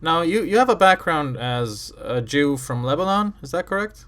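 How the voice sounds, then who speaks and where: Calm man from Bosnia interviewing. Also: on the high side, normal speed. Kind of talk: conversational interview.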